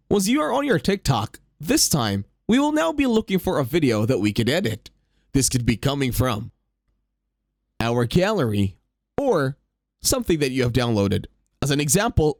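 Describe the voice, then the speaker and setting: A man's voice: medium pitch, dry recording, studio quality. A man hosting a how-to tutorial, narrating scripted steps in a sing-song instructional way. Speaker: tutorial host.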